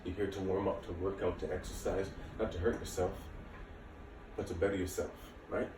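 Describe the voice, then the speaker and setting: Male fitness instructor, late 30s, very low voice, informal and reassuring, recorded at a distance from the mic. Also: little pitch movement, brisk. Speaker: martial arts and fitness instructor. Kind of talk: casual workout instruction to camera. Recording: echoey room, distant mic, faint noise.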